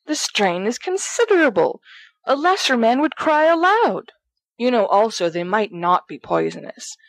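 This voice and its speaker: A woman's voice: a little high, very animated, normal speed, no room sound. A woman reading fiction aloud as a dramatic, jealous young man's letter, in a melodramatic way. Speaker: volunteer audiobook reader.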